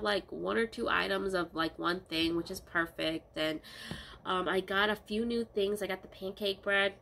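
A woman's voice: medium pitch, vocal fry, slight background noise. Congested woman chatting to camera. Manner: rambling, many ums, relaxed diary talk. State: congested, stuffy-nosed from a cold. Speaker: vlogger. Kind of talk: casual vlog chat. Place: small bedroom, indoors.

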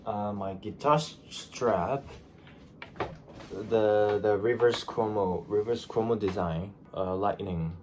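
A man's voice: fairly low pitch, slight background noise. Groggy young Japanese-accented man. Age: 20s. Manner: chatty, self-deprecating, restarts phrases. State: groggy, just woken up. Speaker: vlogger. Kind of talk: casual chat to camera. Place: small bedroom, indoors. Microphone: camera in front at desk.

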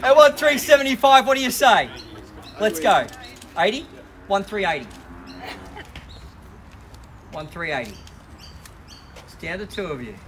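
A man with an Australian accent working as an auctioneer, calling bids at a live property auction on a suburban driveway outdoors and pressing the bidders to act quickly. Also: high-pitched, animated, noisy background. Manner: brisk, persuasive, pushing bidders to act.

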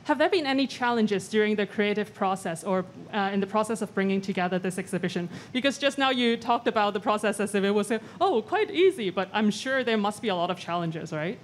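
A woman's voice: mid-range voice, expressive, a little hiss or hum. A young woman moderating a panel talk in a large gallery, speaking politely into a handheld mic and reading from notes. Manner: polite, measured, reads from notes.

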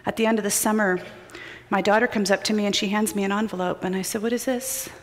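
A middle-aged woman preaches from a pulpit, her voice carrying a long reverb through a large church sanctuary. She tells personal stories, rising loud and urgent and then dropping to a hushed, almost whispered intensity. Her pitch sits in a middle register. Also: fairly even intonation, fast.

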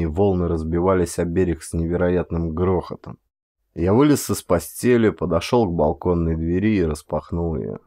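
A man from Russia narrating an audiobook story in a dramatic, expressive reading, lifting his voice for quoted speech. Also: sits low.

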